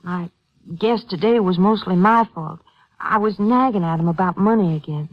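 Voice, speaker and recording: A woman's voice: mid-range voice, normal speed, dry recording, lo-fi sound, lightly acted. A woman playing a worried, worn-out young wife and mother, hesitant and apologetic, in a 1950s radio drama. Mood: anxious, downcast. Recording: old radio recording.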